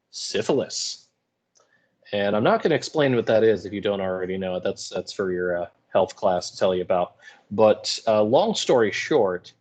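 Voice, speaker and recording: A man teaching English in an informal video lecture, explaining with wry asides and some hesitation. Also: fairly low pitch. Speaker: high school English teacher.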